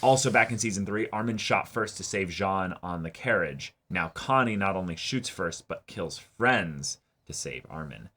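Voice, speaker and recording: A man's voice: sits low, lively intonation, moderate pace, few pauses, bright, forceful tone, slightly rough. A man co-hosting an anime reaction show, talking casually and sometimes reading viewer comments aloud.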